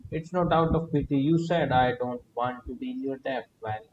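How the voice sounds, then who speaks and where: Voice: medium pitch, moderate pace, dull audio, background noise. A game streamer chatting casually and reading dialogue aloud while playing. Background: game music and character dialogue.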